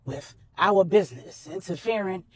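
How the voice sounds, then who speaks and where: A woman storyteller voicing a commanding, protective male crime boss with a measured, persuasive manner. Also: mid-range voice, expressive, darkish tone, breathy edge, no echo, clean studio sound. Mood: assured, persuasive.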